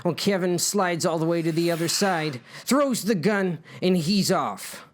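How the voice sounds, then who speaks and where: A man's voice: fairly high pitch, unhurried. A man narrating his own comic story in an informal, mock-dramatic way with conversational asides.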